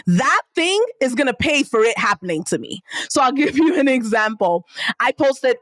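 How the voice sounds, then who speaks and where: Animated Nigerian-accented woman. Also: dry recording. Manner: animated, acts out quotes with big pitch jumps. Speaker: podcast guest, entrepreneur and speaker. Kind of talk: storytelling in casual interview.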